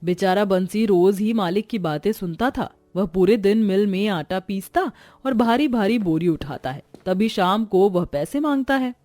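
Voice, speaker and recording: A woman's voice: medium pitch, moderate pace, hardly any pauses, warm tone, no room sound. A woman reading scripted storybook narration of a moral tale.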